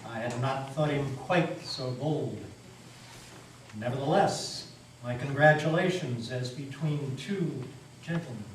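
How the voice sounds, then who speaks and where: A man playing a suave, wry devil as a courteous gentleman in a dramatic stage reading. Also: mid-range voice, slow, lightly acted. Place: old meeting house, live performance. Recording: very echoey hall, distant mic, faint noise.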